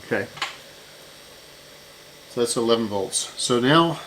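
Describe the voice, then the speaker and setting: A man's voice: mid-range voice, expressive, slow, background noise. A man giving a casual hands-on repair walkthrough, unscripted and thinking aloud as he works. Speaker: repair channel host.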